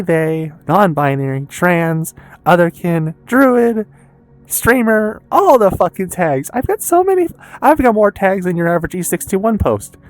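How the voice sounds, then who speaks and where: A woman in her early twenties with an American accent talks candidly and casually in a confessional personal vlog, rambling haltingly with pauses and some swearing. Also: mid-range voice. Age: early 20s. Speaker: vlogger and streamer.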